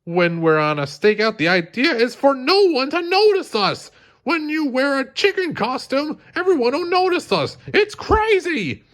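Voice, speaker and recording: A man's voice: extremely high pitch, sing-song, measured pace, fairly bright tone, voice pushed up, full character voice. A man voicing an exasperated, hot-tempered male cartoon vegetable detective in a children's storybook, indignant and sputtering. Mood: exasperated, straining.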